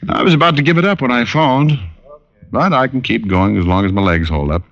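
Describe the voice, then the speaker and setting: An American actor playing a dry, wisecracking hard-boiled private detective in old-time radio style. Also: male voice, mid-range voice, fairly quick, a bit bright, lightly acted. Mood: dry, casual. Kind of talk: 1940s-50s radio detective acting, first-person narration. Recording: dull old radio recording with music.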